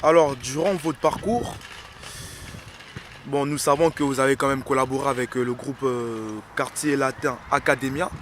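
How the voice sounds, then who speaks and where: A man's voice: mid-range voice, fairly even intonation, normal speed. A man interviewing a musician casually, asking questions and repeating his guest's answers back to him. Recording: outdoor recording with faint street noise.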